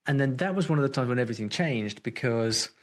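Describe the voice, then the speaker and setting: A man's voice: medium pitch, lively intonation, quick pace. A man hosting a podcast interview, thinking aloud with frequent restarts and fillers. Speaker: podcast host.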